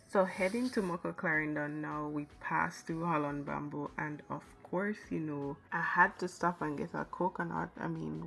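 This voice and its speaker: A woman's voice: deep voice, gravelly. A woman in her thirties vlogging casually, sounding stuffy from the flu and laughing at herself as she chats. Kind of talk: casual travel vlog.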